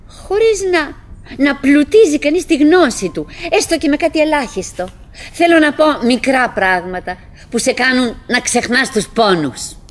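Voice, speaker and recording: A fretful middle-aged wife in a radio play, with a fairly high voice. She muses in halting phrases to herself and her husband, clinging to good cheer with theatrical care. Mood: wistful musing. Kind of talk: theatrical radio drama monologue.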